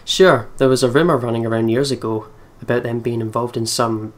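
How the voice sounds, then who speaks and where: A man's voice: mid-range voice, steady tone, a little fast. A man reading visual novel narration aloud for a let's play.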